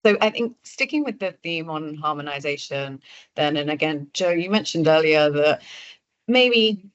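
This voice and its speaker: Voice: mid-range voice, animated, moderate pace, fairly bright tone, no room sound, highs cut off. A podcast host guiding a conversation with guests, trailing off into fillers while framing questions.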